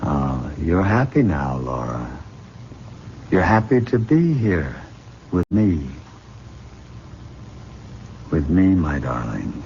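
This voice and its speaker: A deep, controlling radio-drama professor. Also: male voice, big pitch swings, darkish tone, exaggerated voice. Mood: hypnotic coaxing. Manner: deliberate, coaxing, theatrical. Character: possessive, controlling professor and hypnotist, smooth, condescending. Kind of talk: old-time radio drama acting. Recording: muffled, noisy old radio recording.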